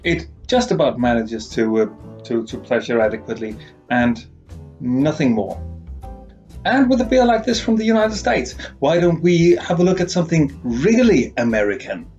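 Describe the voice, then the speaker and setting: A man's voice: mid-range voice, sing-song, moderate pace, darkish tone, muffled recording, soft background music. A middle-aged man vlogging in a living room, giving rambling, wordy reviews and sarcastic commentary. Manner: sardonic, wordy. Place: small living room, indoors.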